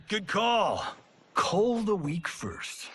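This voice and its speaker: A vain action-movie star in a game cutscene. He is brash and sarcastic, drawling slowly with showy, very animated pitch. There is faint noise behind him. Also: exaggerated voice. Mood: cocky. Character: cocky, vain Hollywood martial arts movie star. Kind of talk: cinematic video game voice acting.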